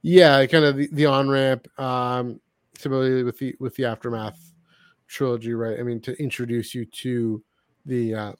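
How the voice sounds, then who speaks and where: A man hosting a podcast in casual conversation, talking in a halting, rambling way and often repeating his words. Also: medium pitch, flat delivery, smooth, dry recording. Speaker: podcast host. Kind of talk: casual chat, discussing books and comics.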